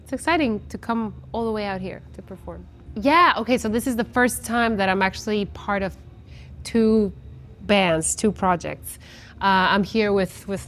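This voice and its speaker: A woman in her forties, originally from Guatemala, talks casually about her music in an interview. She is chatty and often restarts her sentences. Her voice sits at a middle pitch, with faint noise behind her from the outdoor festival. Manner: chatty with many restarts and fillers. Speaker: musician guest.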